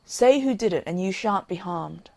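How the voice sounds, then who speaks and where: A woman's voice: medium pitch, animated, moderate pace, a little mellow, dry recording. Woman reading as an insistent young master. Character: lovesick young master, commanding and insistent young man.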